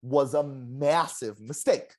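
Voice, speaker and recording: A former game contestant in a casual exit interview, telling stories in an animated, self-deprecating way with a loud voice. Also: medium pitch, slow. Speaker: eliminated contestant, juror.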